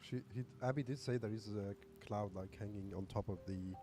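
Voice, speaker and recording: A man's voice: on the low side, moderate pace, mellow. A man live streaming a game, chatting casually and reacting as he goes. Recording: faint game music behind the voice. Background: game music.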